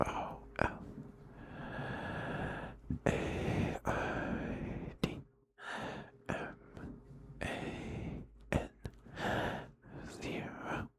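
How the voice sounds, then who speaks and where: A man streaming ASMR close to the microphone, chatting casually and sometimes whispering, in a halting, rambling way. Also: sits low. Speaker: ASMR livestreamer. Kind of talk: ASMR livestream, casual chat with whispered passages.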